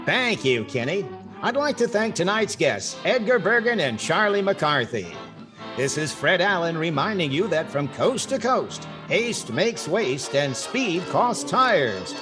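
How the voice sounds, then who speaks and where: A man's voice: sits high, big pitch swings, measured pace. A man playing a wry 1940s radio comedian, narrating with dry, mock-serious delivery. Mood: sincere sign-off. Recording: music behind the voice.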